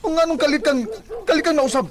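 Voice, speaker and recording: A man's voice: extremely high pitch, fairly even intonation, fast talker, slight background noise. A man with a Cebuano accent playing a jilted, hot-tempered young lover pleading melodramatically in a radio drama. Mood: anguished, angry. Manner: melodramatic, emphatic. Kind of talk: Bisaya radio drama acting.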